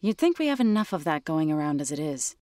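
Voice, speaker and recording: Low-pitched, earnest warrior woman. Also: very animated, fast talker, dark tone, dry recording, clean studio sound. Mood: earnest, steady. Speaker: video game character. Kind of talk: scripted video game dialogue.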